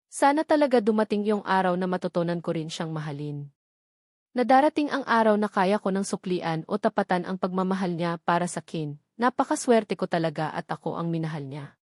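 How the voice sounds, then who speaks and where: A woman's voice: lowish voice, darkish tone, no room sound, studio quality. A woman with a Filipino accent reading a first-person romance novel as a scripted narration. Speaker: audiobook narrator.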